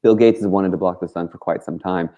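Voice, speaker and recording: A man's voice: deep voice, steady tone, fast talker, mellow. A man talking as a guest on a talk show, rambling casually and earnestly through his stories in informal, slangy language. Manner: informal and slangy, with frequent "like" and mild swearing.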